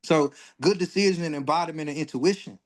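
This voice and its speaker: A man's voice: on the high side, quick pace, low-quality recording. A man in his forties hosting an astrology livestream, talking casually and bluntly, with lots of profanity, and getting worked up when he rants. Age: 40s.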